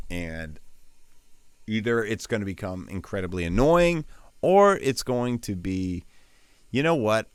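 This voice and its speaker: A man talks viewers through his freelance voiceover setup in a loose, rambling way, with frequent restarts and fillers. His voice sits a bit low, and the close, dry mic leaves almost no room sound. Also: fairly bright tone, smooth, no echo. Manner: rambling, informal, self-interrupting with fillers. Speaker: voiceover artist giving a tutorial. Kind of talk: casual screen-share tutorial. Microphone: studio voiceover mic.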